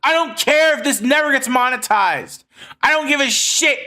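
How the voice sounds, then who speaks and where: A man's voice: very high voice, moderate pace, sharp tone. A man of thirty speaking earnestly and with heavy swearing in a personal monologue to his online audience. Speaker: online video creator making comic dubs and skits.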